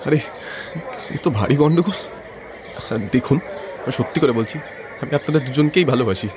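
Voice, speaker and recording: A man's voice: mid-range voice, normal speed, a crowd in the background, background noise, natural voice. A man voicing a bewildered, lovestruck young man who stammers in a cartoon story. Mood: flustered, bewildered. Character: flustered, lovestruck young man in love with twins. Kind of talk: cartoon moral story voice acting.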